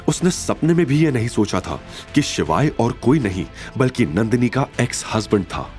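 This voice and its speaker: A man narrating a scripted drama story in an expressive, suspenseful storytelling style. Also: on the low side.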